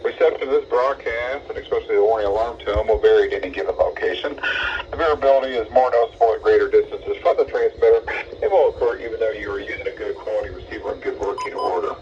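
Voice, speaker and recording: Fast-talking man on muffled weather radio. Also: fairly low pitch, lively intonation, noisy background. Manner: formal, impersonal. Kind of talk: scripted public service announcement. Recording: muffled distant weather radio broadcast, music behind.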